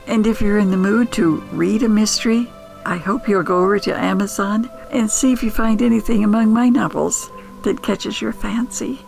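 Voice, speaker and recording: Older woman podcaster with creaky voice. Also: mid-range voice, fairly even intonation, moderate pace, a little mellow, faint music behind. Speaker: podcast host and storyteller. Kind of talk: personal, conversational podcast sign-off.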